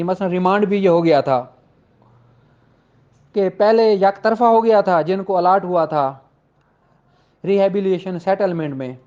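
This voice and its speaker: Male law teacher, notably high voice, informal and insistent explainer who restates each point, dull low-fidelity sound. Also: darkish tone. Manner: emphatic, repeats points for clarity. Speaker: law lecturer. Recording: dull, lo-fi audio.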